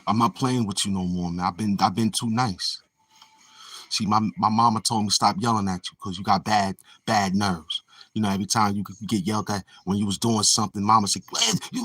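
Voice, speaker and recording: A man's voice: fairly low pitch, fairly quick, sharp tone, slightly rough. A man hosting his own channel in a boastful, taunting livestream monologue, swaggering and repeating himself. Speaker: YouTube channel host.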